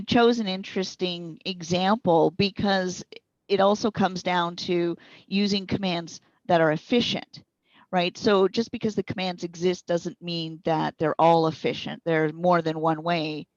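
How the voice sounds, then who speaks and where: A woman being interviewed as a software trainer, explaining things in a conversational, instructive way. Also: on the low side, measured pace. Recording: boxy roomy echo, slightly dull sound.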